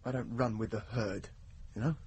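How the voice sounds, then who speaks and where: An actor playing a jittery, pushy, boastful dental patient in a radio play, stammering and blustering. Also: male voice, fairly low pitch, fairly even intonation, moderate pace, a little mellow. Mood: nervous, blustering. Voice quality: husky, breathy. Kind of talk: naturalistic radio drama acting.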